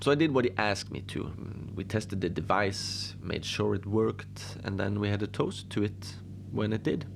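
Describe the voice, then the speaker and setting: A man playing a deadpan lone adventurer who tells his odd tales slowly and conversationally, rambling with halting asides, in a cozy horror audio drama. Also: lowish voice, creaky, noisy background. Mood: deadpan, musing.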